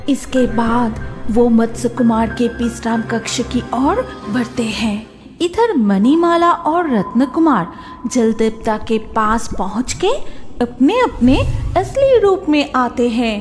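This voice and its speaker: A woman's voice: fairly high pitch, animated, slow pace. A woman reading scripted narration for a fairy-tale cartoon. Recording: faint music behind the voice.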